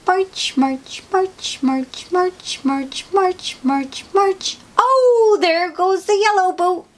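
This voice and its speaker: A woman hosts a read-aloud for small children. Her delivery is very slow, with a wide, lively swing in pitch. She speaks in a sing-song, encouraging way, as if talking straight to young listeners.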